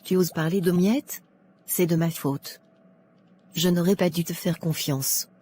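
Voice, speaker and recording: A woman playing a sensible, frank colleague who lends money in an animated moral tale. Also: lowish voice, measured pace, slight background noise, close to an everyday voice. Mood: indignant.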